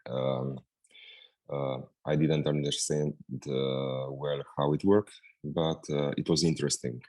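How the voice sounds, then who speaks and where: A man's voice: a bass voice, darkish tone. A man with a Slovene accent, speaking English as a second language, answering interview questions with many hesitations. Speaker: guest practitioner.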